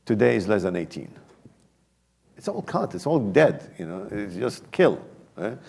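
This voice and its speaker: A man with a low, gravelly voice speaks on a conference panel. He talks in emphatic bursts and often restarts his sentences. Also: big pitch swings, measured pace, darkish tone.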